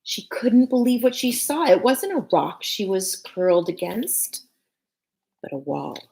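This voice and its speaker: A woman's voice: mid-range voice, sing-song, creaky, dark tone, slight background noise. A woman teacher reading a picture book aloud to children expressively, with short phrases and casual asides. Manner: addresses young listeners, pausing between short phrases.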